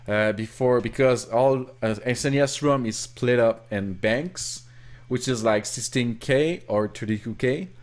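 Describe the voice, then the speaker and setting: A man's voice: mid-range voice, measured pace. A young man with a Québécois French accent, French his first language, walking through a ROM hack in a rambling, self-correcting screen-recorded tutorial at his computer. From Quebec, Canada. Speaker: ROM hacker. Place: home office.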